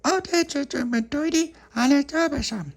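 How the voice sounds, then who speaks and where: A woman's voice: high-pitched, moderate pace, pitched above the performer's own voice. A woman voicing a babbling toddler girl who mispronounces her words. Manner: babyish lisping babble.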